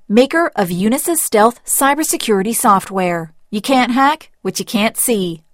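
A woman's voice: a little high, no echo, studio quality. A woman with an American accent reading scripted sponsorship announcements.

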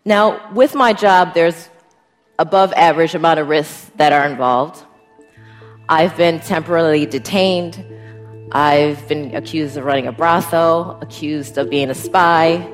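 A woman's voice: sits low, a little slow, soft background music. A woman with an American accent giving an earnest first-person account as a guest speaker. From United States, Midwest.

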